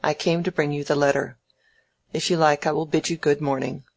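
A narrator as a polite, restrained young gentleman. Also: mid-range voice, little pitch movement, fairly quick, vocal fry. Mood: stiff, restrained irritation. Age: young adult. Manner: courteous, formal, restrained. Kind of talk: dramatised audiobook character.

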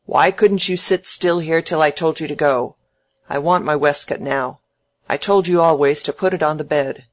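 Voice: medium pitch, fairly even intonation, moderate pace, natural voice. A narrator voicing a contemptuous, miserly old man in an audiobook, curt and emphatic. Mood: snappish, impatient. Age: elderly. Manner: curt, emphatic, sneering, barking orders. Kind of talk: dramatised audiobook character.

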